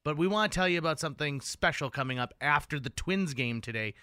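A man with a bright, punchy voice works as a radio host in a dry studio. He talks in an upbeat, enthusiastic way and leans on key words with emphasis. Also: a little high, lively intonation, quick pace, clear, resonant tone, dry recording. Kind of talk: upbeat radio interview chat. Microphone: close studio microphone.

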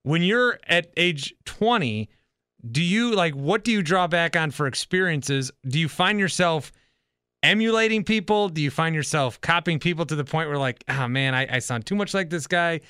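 A man's voice: fairly high pitch, animated, normal speed, bright, forceful tone, clean tone, dry recording, clean studio sound. A man in his thirties hosting a casual podcast interview, talking in a rambling, self-correcting way. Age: 30s. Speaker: podcast host and radio host.

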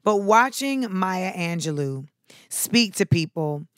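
A woman talking casually to her viewers in a sharp, clipped way, with casual swearing. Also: fairly low pitch, animated, slow pace, dry recording. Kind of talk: casual monologue to camera.

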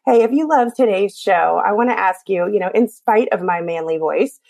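A woman's voice: mid-range voice, expressive, normal speed, creaky, a little mellow, dry recording. A woman podcast host with an Appalachian accent, her voice scratchy from allergies, talking in an encouraging, chatty way with small jokes. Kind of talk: scripted podcast intro and casual chat.

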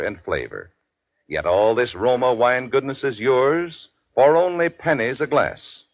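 A dry, dull-sounding old radio recording presents a male commercial announcer. He reads in polished 1940s style, his pitch sweeping up and down as he leans deliberately on key phrases. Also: no room sound. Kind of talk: scripted 1940s radio advertisement.

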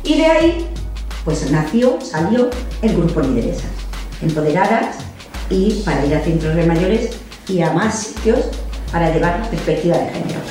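A woman's voice: on the low side, big pitch swings, moderate pace. An older woman talks earnestly and with emphasis, now and then restarting her sentences. She sounds far from the mic in a very echoey room. Faint music plays underneath. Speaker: member of a senior women's activist group. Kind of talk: interview testimony.

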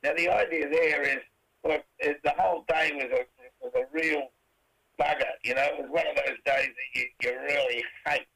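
A middle-aged Australian man with a raspy voice, a rock band singer answering interview questions in a rambling way, full of fillers and restarts. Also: on the high side, fairly even intonation, moderate pace, bright, forceful tone, poor audio.

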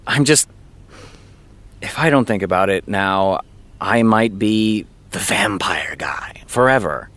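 A high-strung, disillusioned American writer in a podcast drama. The delivery swings widely in pitch, emphatic and exasperated, yet measured in pace and exact in wording. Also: male voice, animated, a bit bright, no echo. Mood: weary. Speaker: voice actor. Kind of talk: naturalistic fiction podcast dialogue.

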